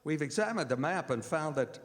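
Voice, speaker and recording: A man playing an earnest gentleman vampire hunter reading his clipped journal entries in a live radio drama. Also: a little high, lively intonation, a little fast, lightly acted. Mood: grave, urgent. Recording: reverberant stage hall, faint noise.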